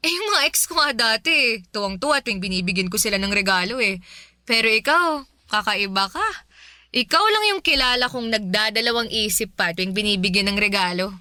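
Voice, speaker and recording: A woman's voice: medium pitch, lively intonation, a little fast, pushed and bright, no room sound, studio quality. An actress playing a young, breezy, generous girlfriend in a Filipino radio drama, with a playful, coaxing, casual way of talking. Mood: teasing, defensive.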